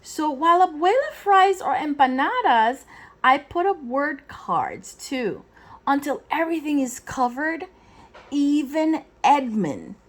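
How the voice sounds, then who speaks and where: A woman reading a children's picture book aloud in an expressive way, phrasing deliberately and acting out the dialogue. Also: sits high. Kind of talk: expressive storybook read-aloud.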